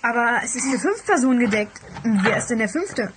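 A woman playing a bright, curious young guest in a children's mystery audio drama. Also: a little high, lively intonation, a little fast, close to an everyday voice. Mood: curious, delighted. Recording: noisy, clicky recording.

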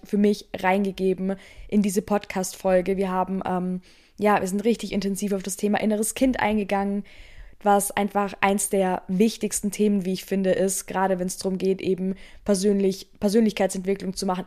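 A woman's voice: medium pitch, mellow. A young woman hosting a podcast in an upbeat, chatty way, dropping in English words. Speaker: podcast host. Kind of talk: casual podcast interview.

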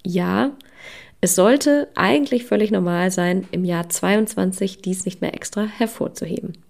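Hoarse woman hosting a podcast. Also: mid-range voice, a little slow, a little mellow. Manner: upbeat, with many fillers. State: hoarse from a cold. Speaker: podcast host. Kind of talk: interview podcast, scripted intro then chat.

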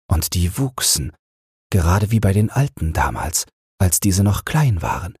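Very breathy, slow, low-voiced man narrating. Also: no echo, clean studio sound. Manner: gentle, soothing, measured. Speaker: audiobook narrator. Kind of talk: scripted bedtime fairy-tale narration.

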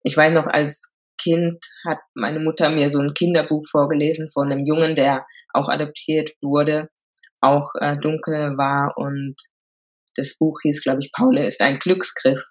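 A woman's voice: deep voice, flat delivery, unhurried. A woman, telling her story as a podcast guest, hesitant, with many fillers. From Germany. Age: 20s. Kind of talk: casual interview talk.

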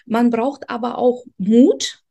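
A woman's voice: a little high, slow pace, creaky, dark tone. A woman from Ukraine, hosting an interview and talking in an earnest, motivational, direct way. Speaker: host. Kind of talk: interview conversation.

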